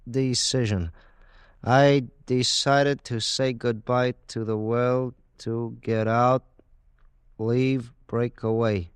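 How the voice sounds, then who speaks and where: An actor playing a tired, plain-spoken working-class husband in a 1940s radio drama, colloquial and exasperated. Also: male voice, mid-range voice, clear, resonant tone, no room sound. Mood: reluctant reading.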